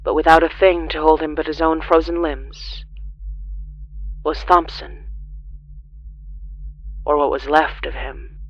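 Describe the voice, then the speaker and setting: A horror storyteller delivers scripted narration in a mid-pitched voice. The delivery is solemn and deliberate, broken by dramatic pauses. The recording sounds somewhat dull and closed-in. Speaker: narrator of a horror fiction podcast.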